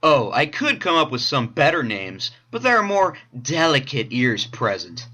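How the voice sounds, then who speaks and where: A cocky teenage boy acted by a man with a bright, forceful mid-range voice and lively swings of pitch. He is sarcastic and taunting, a mocking punk in an anime audio drama. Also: normal speed, full character voice. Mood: insolent.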